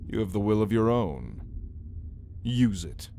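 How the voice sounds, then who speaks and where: A man's voice: on the low side, big pitch swings, a little slow, warm tone, a little hiss or hum. A man playing a brooding, menacing caged vampire in an ASMR roleplay, speaking in a theatrical, taunting way with archaic wording. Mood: goading. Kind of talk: ASMR roleplay, dramatic monologue to listener.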